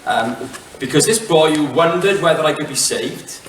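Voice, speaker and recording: A middle-aged Welsh man giving a relaxed public talk, chatty and self-mocking, with plenty of fillers and restarts. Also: on the high side, normal speed, a little hiss or hum. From Wales. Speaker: head teacher giving a talk. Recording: echoey hall, mic from far off.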